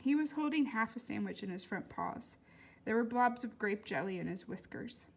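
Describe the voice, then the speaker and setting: A woman reading a storybook aloud as an expressive narrator. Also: fairly high pitch, moderate pace, gravelly.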